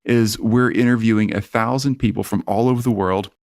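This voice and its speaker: A man's voice: fairly low pitch, fairly even intonation, fairly quick, a little mellow, no room sound, studio quality. A man hosting a podcast, chatting informally as he puts interview questions to a guest. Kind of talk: casual conversational interview.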